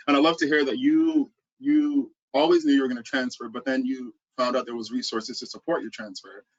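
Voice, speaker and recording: A man moderates a student panel, upbeat and quick to affirm each answer. His pitch is middling. The audio is dull and lo-fi.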